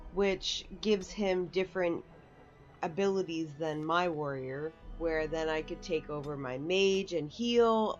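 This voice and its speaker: A woman's voice: fairly low pitch, muffled recording. A woman giving casual, chatty, rambling walkthrough commentary for a video game. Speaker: gaming video host. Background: faint game music.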